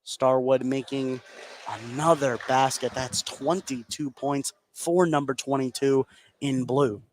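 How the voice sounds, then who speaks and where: A man's voice: mid-range voice, steady tone, slow pace. A man doing live play-by-play basketball commentary as a radio sports announcer. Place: indoor basketball gym, live radio broadcast. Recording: clear background noise, live gym ambience.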